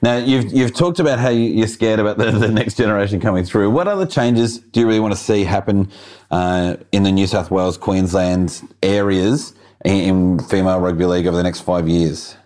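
A man hosts a relaxed sports interview, eager and encouraging toward his guest. His voice sits a little low, and he laughs easily at the guest's jokes. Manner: enthusiastic, friendly, quick to laugh. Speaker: podcast host.

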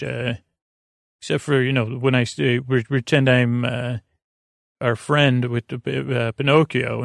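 A man with a creaky voice hosting a sleep podcast, rambling in a soothing, halting way and stammering over repeated words. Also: medium pitch, no room sound, clean studio sound. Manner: soothing, lulling. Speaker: podcast host. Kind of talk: rambling bedtime monologue to lull listeners to sleep.

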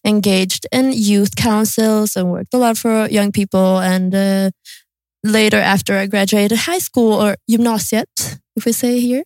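A woman's voice: medium pitch, steady tone, unhurried, darkish tone, no room sound. A 22-year-old woman with an Albanian-Swedish accent, chatting informally and a bit giggly as a podcast co-host. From born in Kosovo, raised in Sweden. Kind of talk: casual podcast chat.

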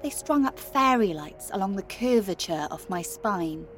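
A woman playing a wry, wistful giant from the country in a first-person audio drama monologue, telling her story expressively with hushed, confiding asides. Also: mid-range voice, animated, moderate pace, faint music behind, natural voice. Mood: wry, intense.

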